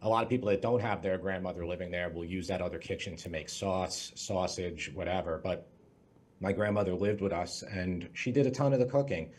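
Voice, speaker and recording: Low-voiced New man, casual. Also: fairly quick, a little mellow. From Long Island, New York. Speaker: podcast guest. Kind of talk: casual conversational recollection.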